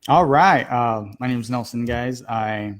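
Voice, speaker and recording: A man's voice: mid-range voice, a little slow. A man of about thirty from Colombia, telling his personal story as a guest in a loose, informal conversational way peppered with "like". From born in Colombia, moved to the US at nine. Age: 30s. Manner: heavy use of "like", loose and informal. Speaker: podcast guest sharing a personal testimony.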